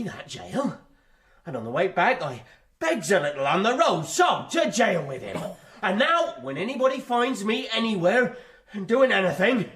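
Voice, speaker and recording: An actor playing a bitter, wronged country labourer, pleading emphatically in plain rustic speech for a radio drama. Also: male voice, high-pitched, fairly bright tone, exaggerated voice. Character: defiant, wronged poor country labourer, repeatedly jailed.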